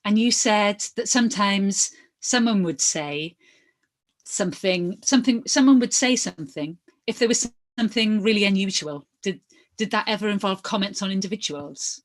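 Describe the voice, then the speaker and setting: A woman reading the part of an inquiry lawyer, putting formal questions in a measured, methodical way. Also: mid-range voice, close to an everyday voice. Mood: measured, probing. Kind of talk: verbatim reading of hearing questions.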